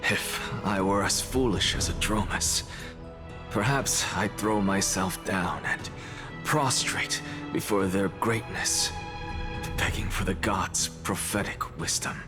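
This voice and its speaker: A defiant, ambitious scholar with a lowish voice. He takes his time over every phrase, articulate and grandly arrogant, in dramatic game style. Loud music plays behind him. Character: proud, defiant young scholar, blasphemous and ambitious. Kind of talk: video game story acting.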